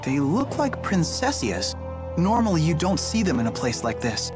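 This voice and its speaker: A boyish teenage lad, kind and inquisitive, with a very lively range of pitch. He sounds earnest and thoughtful, as in an anime game, with music behind. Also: moderate pace. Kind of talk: anime game dub acting.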